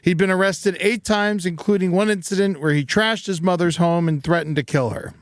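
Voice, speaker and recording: A man hosting a comedy podcast, narrating from notes with wry, dark-humored asides. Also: sits high, steady tone, moderate pace, no room sound, studio quality. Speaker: podcast host. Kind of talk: comedic scripted narration with banter. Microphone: close studio podcast mic.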